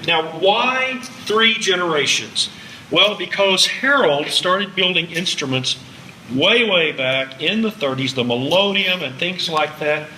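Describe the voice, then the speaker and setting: Male American music lecturer, older, highish forceful voice, dry humor, recorded at a distance in a live room. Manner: wry, jokey asides. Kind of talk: public lecture with slides. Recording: echoey hall, distant, faint background noise.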